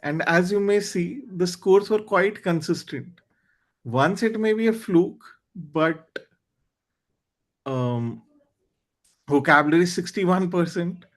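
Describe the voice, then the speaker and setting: A man with a high voice hosts a club meeting over a video call. His is Hindi, and he talks in an earnest, candid way, reaching for words with small fillers. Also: expressive, slow pace, long pauses. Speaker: meeting host. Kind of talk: online club meeting talk.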